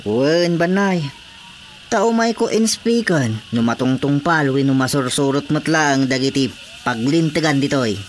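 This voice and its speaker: Earnest village councilor, over clear noise. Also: male voice, a little high, animated, measured pace. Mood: official. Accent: Ilocano. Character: earnest village councilor on market inspection rounds. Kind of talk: Ilocano radio drama comedy acting.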